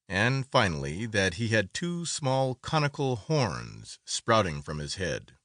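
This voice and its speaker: A man's voice: deep voice, a bit bright, no room sound, clean studio sound. A man narrating a classic literary story as a dramatic, scripted audiobook reading.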